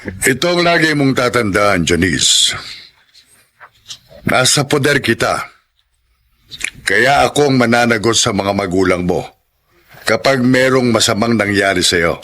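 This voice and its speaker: A man's voice: lowish voice, expressive, unhurried, pauses often, a bit bright. A man acting a stern, protective middle-aged Filipino uncle, probing with authority in a radio drama.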